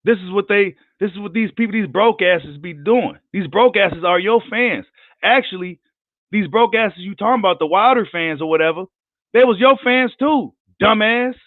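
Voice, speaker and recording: A man with a worn, hoarse voice delivers a heated, profane rant on a live boxing video. His tone sits a touch high for a man. The sound is dry and thin, like a phone line. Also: normal speed, dry recording. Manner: heated, profane, combative ranting. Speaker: boxing commentator.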